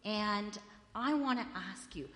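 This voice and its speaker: A woman leading a children's story at church, asking the children friendly questions in a loud voice. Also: mid-range voice, slow. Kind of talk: children's sermon. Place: church sanctuary. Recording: echoey hall with long reverb.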